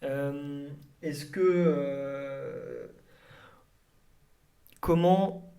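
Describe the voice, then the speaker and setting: A man's voice: on the high side, steady tone, very slow, vocal fry, dark tone. A man hosting a casual interview, asking loose questions with many fillers. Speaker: host.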